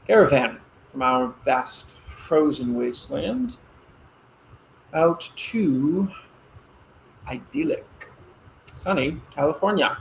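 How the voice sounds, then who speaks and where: Earnest young military son, played by an actor, noisy recording. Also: male voice, medium pitch, lightly acted. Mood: eager, explaining. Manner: earnest, explanatory, with dramatic pauses. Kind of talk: conversational stage-play dialogue.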